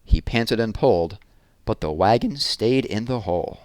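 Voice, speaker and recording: A man's voice: lowish voice, expressive, normal speed, darkish tone, dry recording. A man narrating a children's picture book as a read-aloud. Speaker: storybook reader.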